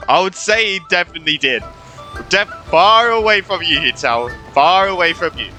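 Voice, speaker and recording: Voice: mid-range voice, lively intonation, normal speed, bright, forceful tone. A gamer commenting casually on a playthrough and reacting aloud to the dialogue. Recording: game music behind the voice. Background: game music.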